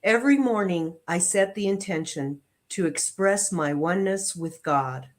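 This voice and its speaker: A woman reading affirmations and inspirational text aloud in a measured, deliberate way, phrase by phrase. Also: on the low side. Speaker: prayer practitioner and reader.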